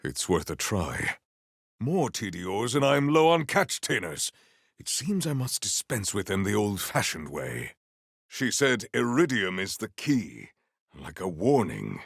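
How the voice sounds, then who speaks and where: Deep, gravelly, formal warrior. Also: male voice, very animated, measured pace, no echo, clean studio sound, heavily acted. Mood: stoic, formal. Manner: formal, stilted, grandiose diction. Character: proud, potent warrior Vault Hunter, formal and deadpan. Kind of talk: scripted video game character lines.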